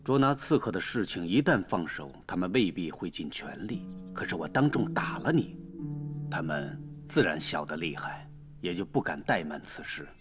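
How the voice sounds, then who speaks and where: An actor playing a calculating, fatherly duke nearing fifty in a Chinese period drama, speaking with measured authority. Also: deep voice, very animated, faint music behind. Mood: grave, instructive. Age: middle-aged.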